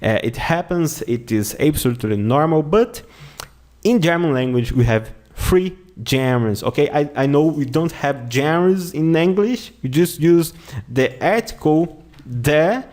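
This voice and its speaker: Slow Brazilian man teaching a lesson. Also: on the high side, lively intonation, darkish tone. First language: Portuguese. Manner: slow, repeats words and phrases often, says "okay?" a lot. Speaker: language teacher. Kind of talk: online language lesson.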